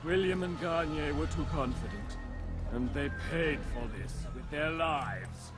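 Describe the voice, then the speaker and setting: An actor voicing a paranoid, menacing knight commander in a video game, with an accusatory, imperious and theatrical delivery. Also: male voice, fairly high pitch, unhurried, natural voice. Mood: sardonic menace. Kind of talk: video game villain acting. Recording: dramatic music behind the voice. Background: music.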